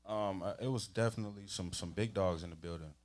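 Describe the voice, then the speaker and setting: A man's voice: low-pitched, quick pace, darkish tone. A man who breeds dogs, talking in a casual livestream chat, laid-back and slangy. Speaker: dog breeder guest.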